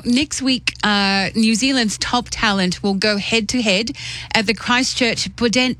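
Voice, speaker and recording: A woman's voice: mid-range voice, fairly bright tone. A woman hosting a radio show, upbeat and welcoming, sometimes stumbling over words as she introduces and questions a guest. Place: radio studio.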